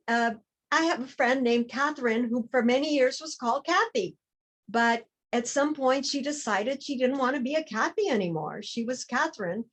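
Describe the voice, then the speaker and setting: A woman speaking as a guest on a discussion panel, explaining her points in a measured, reflective way with emphasis on key words. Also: fairly high pitch.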